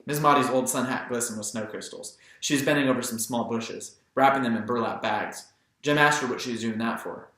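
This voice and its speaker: Man, audiobook narration, scripted literary reading, medium pitch, bright tone, echoey recording. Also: fairly quick. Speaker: audiobook reader.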